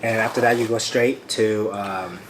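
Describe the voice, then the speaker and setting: A young man from Vietnam, chatting casually while eating spicy food, relaxed and easygoing with frequent fillers. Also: fairly low pitch, normal speed, a little hiss or hum, poor audio. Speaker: co-host.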